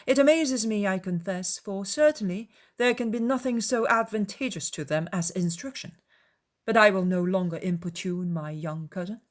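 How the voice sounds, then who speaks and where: A man's voice: high-pitched, normal speed, natural voice. A woman voicing a pompous, obsequious, self-important young clergyman in an audiobook, with a wordy, formal manner. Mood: offended, stiff.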